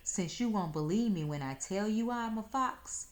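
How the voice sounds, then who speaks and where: A woman's voice: medium pitch, expressive, normal speed, voice pushed up, natural voice. A woman voicing a proud, pompous male storybook fox who speaks formally and stammers and pleads when rattled. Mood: sniffling, pompous.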